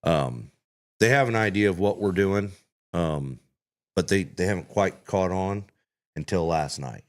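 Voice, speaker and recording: A man with a deep, low voice hosts a casual podcast. He talks eagerly and promotes the show in a loose, friendly way. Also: expressive, measured pace. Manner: enthusiastic, promotional. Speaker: podcast host.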